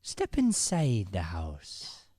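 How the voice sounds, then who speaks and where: An actor playing a sinister older Irish man in an improvised radio play, coaxing and quietly menacing. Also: fairly low pitch, wildly swooping pitch, drawn-out words, no room sound, studio quality, full character voice. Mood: coaxing menace. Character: sinister older Irish man luring boys into his house.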